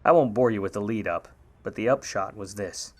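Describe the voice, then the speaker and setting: A man's voice: on the low side, brisk, darkish tone, natural voice. A man playing a wry 1950s private eye who talks and narrates in slangy old radio noir style. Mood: world-weary narrating. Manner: wry, slangy, hard-boiled. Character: grudging wisecracking 1950s male private eye, hard-boiled narrator. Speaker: lead actor and narrator in a radio play.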